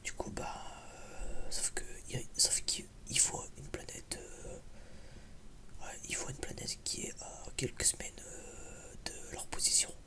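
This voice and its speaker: A man whispers a casual ASMR film review in a hesitant, rambling, informal way. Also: measured pace. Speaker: video creator giving a film review.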